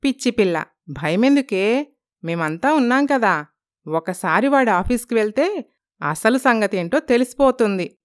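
A woman's voice: a little high, expressive, measured pace, pauses often. A woman voicing a shrewd, protective old grandmother who talks like a knowing elder in a cartoon story. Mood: advising. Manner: knowing, colloquial elder's talk.

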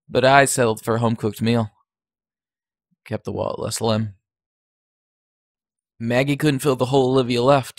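A man playing a wistful, wounded character in an audio drama, plain-spoken and halting. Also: medium pitch, no room sound, clean studio sound, lightly acted. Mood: wistful, bitter. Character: wistful, wounded man reminiscing about family.

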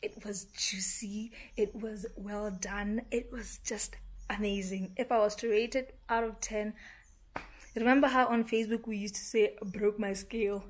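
A young woman from Botswana with a Botswana English accent, chatting excitedly to her camera in a casual vlog, often dropping to a half-whisper. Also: mid-range voice, unhurried. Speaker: vlogger. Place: small room indoors, later a parked car. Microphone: handheld camera at arm's length.

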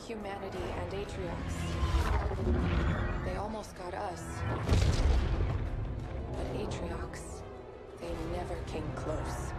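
A woman acts out a young female hologram AI in a game cutscene, haunted and urgent. She recounts things with grave, deliberate weight, and loud orchestral-level music runs beneath her voice. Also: fairly low pitch, lightly acted. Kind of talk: dramatic video game cutscene monologue.

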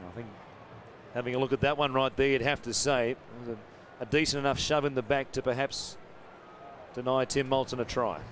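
A man calling a rugby league match live from a stadium commentary box, rising in excitement on big plays. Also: medium pitch, brisk. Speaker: play-by-play commentator. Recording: broadcast with loud stadium noise.

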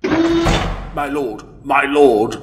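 A scheming underling acted by a man speaks slowly with big swoops of pitch. He is servile and deferential, an audio drama villain with faint ambient noise around him. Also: huge pitch swings, full character voice. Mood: eager, scheming. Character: servile male villain henchman reporting to his master.

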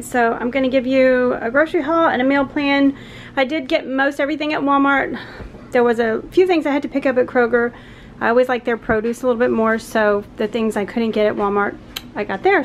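Chatty Southern mom vlogging at home. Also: female voice, sits high, slight background noise. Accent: Southern American. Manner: chatty, rambling, laughs often. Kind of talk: casual chat to camera. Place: home kitchen and backyard. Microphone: handheld camera close up.

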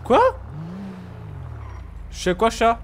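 A man's voice: very high voice, fairly even intonation, extremely slow, a little hiss or hum. A man playing a video game live, chatting casually in a playful, teasing, slangy way. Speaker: gamer, video creator. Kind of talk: casual live gameplay trolling chat.

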